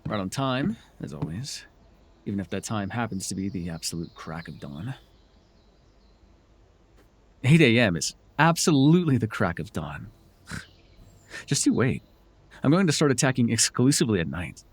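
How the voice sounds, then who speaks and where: Smug supervillain played by a man, swooping husky voice. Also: fairly low pitch, very animated, normal speed, pauses often, dry recording. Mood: teasing. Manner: wry, theatrical, teasing, conversational. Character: smug, teasing male telepath supervillain mentoring a hero. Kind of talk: ASMR roleplay voice acting.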